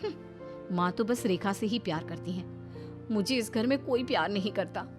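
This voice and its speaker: A woman's voice: mid-range voice, normal speed, music in the background, lightly acted. A woman playing a resentful young daughter-in-law in a family story, complaining and justifying herself. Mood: hurt. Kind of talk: dramatised Hindi family story.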